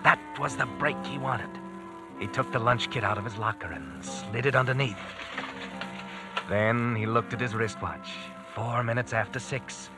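An actor narrating a tense suspense thriller in old-time radio style, insistently addressing the hero. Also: male voice, mid-range voice, normal speed, lightly acted. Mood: grim, suspenseful. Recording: vintage radio recording with dramatic music.

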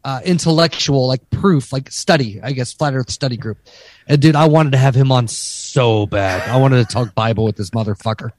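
A man's voice: medium pitch, moderate pace, darkish tone, dry recording. A man co-hosting a podcast with a nasal voice, talking in blunt, crude, joking banter. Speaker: podcast co-host. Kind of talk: casual profane banter.

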